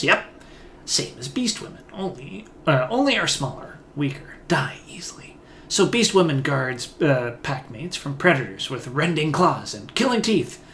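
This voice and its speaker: A man's voice: medium pitch, a bit bright, a little hiss or hum. A feral female beast woman guard, as read by a man, talks in blunt, clipped fragments. She refers to herself in the third person.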